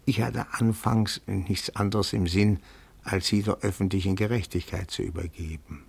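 An actor playing a timid, pedantic petty-bourgeois amateur detective in a radio play, speaking formally and deferentially with meticulous phrasing. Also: male voice, deep voice, steady tone, normal speed, creaky, a little mellow, husky, no room sound, heavily acted. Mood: reverent, confessing.

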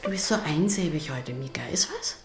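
A woman playing a caring, cheerful hotel housekeeper who gently encourages a child in a radio play. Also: low-pitched, lively intonation, fairly quick, roomy sound, over music. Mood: gently concerned.

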